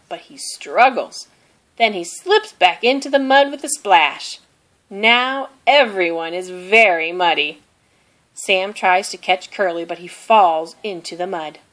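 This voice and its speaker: A woman reading a children's picture book aloud in a deliberate, simple storytelling manner, with expressive delivery of the characters' lines. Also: on the low side. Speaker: storybook reader.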